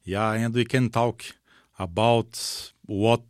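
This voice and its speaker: Male missions-leader guest, unhurried delivery, simple emphatic phrasing, dry studio. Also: lowish voice, fairly even intonation, no room sound. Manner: simple non-native phrasing, emphatic repetition. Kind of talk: personal testimony in a radio interview. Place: radio studio.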